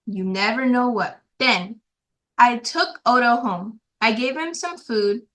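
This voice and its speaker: A woman's voice: a little high, slow, fairly bright tone. A female guest reader reading a children's storybook aloud in a simple, careful storytelling way.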